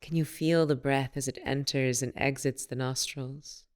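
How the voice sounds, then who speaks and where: A woman leading a guided meditation as a teacher, speaking in a soothing, unhurried and gently instructive manner. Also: extremely low pitch, steady tone.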